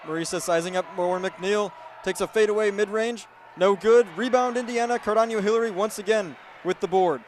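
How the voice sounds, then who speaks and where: A man's voice: high voice, a little fast, few pauses, a little hiss or hum. A man in his twenties with an American accent, working as a student sports reporter and play-by-play announcer. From United States. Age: 20s. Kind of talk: sports reporting, highlight reads and play-by-play commentary.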